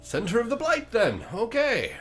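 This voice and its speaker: A man's voice: extremely high pitch, wildly swooping pitch, moderate pace, soft background music, voice pushed up, full character voice. A man voicing a chatty, offhand undead oracle in a tabletop game, fussy and breezy, with hesitating interjections. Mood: chirpy, obliging. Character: chatty, offhand undead oracle of bone and rock, high-voiced.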